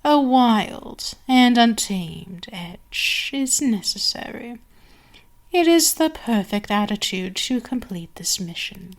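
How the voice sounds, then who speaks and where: Woman playing a very slow, commanding vampire queen. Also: on the high side, lively intonation. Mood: commanding, deliberate. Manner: slow, deliberate, domineering, with savoring pauses. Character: commanding seductive vampire queen addressing her enslaved servant. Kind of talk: ASMR roleplay, scripted monologue.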